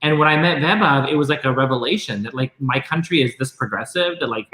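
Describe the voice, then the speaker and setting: An echoey recording of an American man speaking at a quick clip. He is an interview guest with a casual, conversational way of telling stories. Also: mid-range voice, pushed and bright. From Texas, United States. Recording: echoey, roomy sound.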